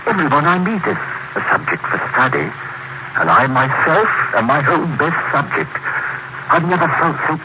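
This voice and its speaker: An actor playing an intense, obsessed crime writer turned cool mob boss, in a theatrical 1940s radio mystery style. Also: male voice, a little high, lively intonation, normal speed. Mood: feverish, obsessed. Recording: noisy, muffled vintage radio recording.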